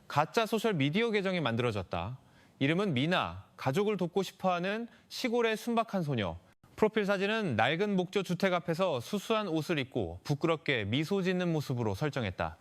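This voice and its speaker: A man delivers scripted dramatic narration with serious suspense. His voice sits fairly high and moves with a very lively, varied melody, as a storyteller would. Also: brisk.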